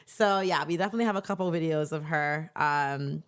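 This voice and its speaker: A young woman co-hosting a casual podcast, telling animated stories with lots of "like" fillers. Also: deep voice, a little fast. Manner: animated storyteller, many "like" fillers. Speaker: podcast co-host; teacher. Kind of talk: casual chat.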